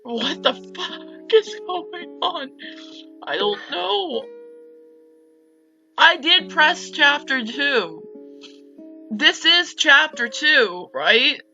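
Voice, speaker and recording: Girl doing a casual lets play, bright voice, slangy and expressive, dull mic with music behind. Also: high-pitched, very slow, pauses often. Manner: expressive, slangy, baffled reacting. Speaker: gamer playing a visual novel. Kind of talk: casual let's play commentary.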